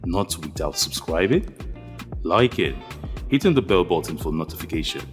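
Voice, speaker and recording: Low-voiced male announcer over guitar. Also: big pitch swings, moderate pace. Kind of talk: scripted outro announcement. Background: guitar music.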